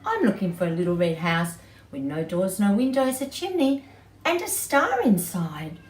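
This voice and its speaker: A woman's voice: medium pitch, sing-song, moderate pace, close to an everyday voice. A woman voicing a polite, eager little girl from a children's story, pleading in simple phrases. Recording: distant mic, roomy echo, background noise.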